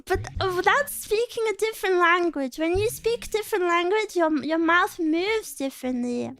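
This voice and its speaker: A young woman streamer chatting casually with her viewers, self-conscious and giggly, with a scratchy throat she keeps clearing. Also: very high voice, lively intonation, moderate pace, a bit bright. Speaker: VTuber streamer. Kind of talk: casual stream chat, reading chat aloud.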